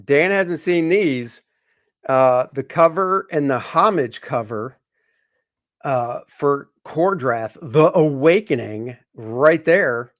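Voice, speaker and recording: Joking man with a high voice. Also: expressive, slow. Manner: rambling, joking, many fillers. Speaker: comic artist co-host. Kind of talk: casual livestream chat.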